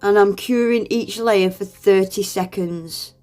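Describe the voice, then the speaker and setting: A woman's voice: mid-range voice, a little slow, a little mellow, soft background music. A woman, congested with a cold, chatting through a nail art tutorial voice-over in a friendly, self-deprecating way and laughing often.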